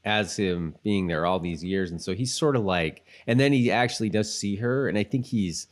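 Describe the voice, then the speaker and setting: A man with a low-pitched voice chats as co-host of a rewatch podcast. He is informal and rambling, working out his thoughts in loose fragments as he speaks. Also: normal speed. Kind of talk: casual chat, commentary on a TV show.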